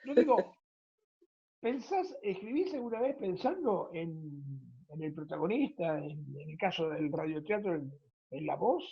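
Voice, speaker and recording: A man's voice: on the high side, animated, a little slow, slightly rough, dull audio. A man with an Argentine accent hosting a conversational radio programme, speaking in an informal, affectionate way. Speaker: radio host and interviewer. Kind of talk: conversational radio interview with closing book recommendation.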